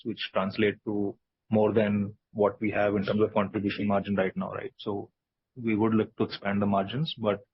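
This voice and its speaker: Flat, low male executive. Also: breathy edge. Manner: guarded, matter-of-fact. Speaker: company finance executive. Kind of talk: answering analyst questions. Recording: dull, lo-fi conference call audio.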